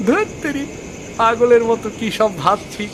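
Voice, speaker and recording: A guilt-haunted village villain played by a man mutters to himself in a jittery cartoon style. The voice is extremely high for a male, with clear outdoor noise behind it. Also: moderate pace. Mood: nervous, paranoid. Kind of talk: Bengali cartoon villain acting.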